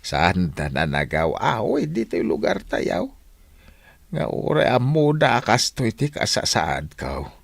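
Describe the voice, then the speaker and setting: A man with an Ilocano accent acting a humble, polite old widower with halting speech in a radio drama. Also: fairly low pitch, wildly swooping pitch, creaky, husky, no room sound, full character voice. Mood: humble, sorrowful.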